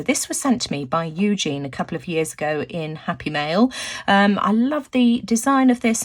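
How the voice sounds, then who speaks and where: A woman with a croaky voice, narrating a casual craft tutorial as host, chatting and thinking aloud. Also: mid-range voice.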